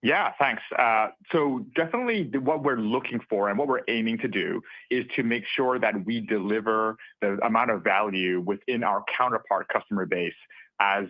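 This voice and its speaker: A man's voice: on the low side, normal speed, dry recording. A man speaking as a company executive, giving remarks and answers on an earnings call. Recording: dull, lo-fi conference call audio.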